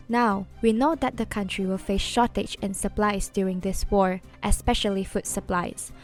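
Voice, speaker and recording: A woman playing a composed female news correspondent, formal and measured, in an audio drama. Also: mid-range voice, natural voice.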